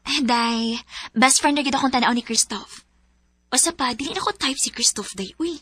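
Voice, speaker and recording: Young woman lead for a radio play; emotional, pleading delivery, high and bright. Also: normal speed, slightly rough. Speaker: lead character in radio drama. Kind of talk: radio drama acting.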